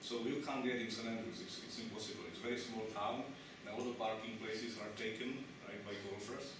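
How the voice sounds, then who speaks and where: Man, from the Republic, lecturer, halting with fillers, distant mic, echoey noisy hall. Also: on the low side. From Czech Republic. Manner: halting, many restarts and fillers, asks the audience questions. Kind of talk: conference lecture.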